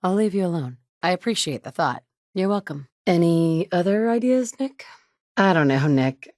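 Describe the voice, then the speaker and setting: A woman's voice: lowish voice, animated, normal speed, darkish tone, dry recording, close to an everyday voice. A woman playing a wry, determined game heroine. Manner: dry wit, blunt and curt when annoyed. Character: determined mother searching for her son, wry. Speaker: player character. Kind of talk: game dialogue lines. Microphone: close studio mic.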